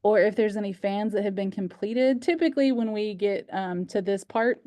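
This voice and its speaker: A woman's voice: mid-range voice, moderate pace, mellow, dry recording. A woman with an American accent hosting casually, with a chatty and enthusiastic way of talking. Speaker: host of a virtual culture club.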